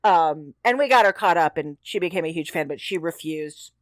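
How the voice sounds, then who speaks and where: A woman's voice: low voice, lively intonation, moderate pace, no room sound. A woman co-hosting a podcast in casual, chatty style, repeating words for emphasis. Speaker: podcast co-host. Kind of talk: casual chat.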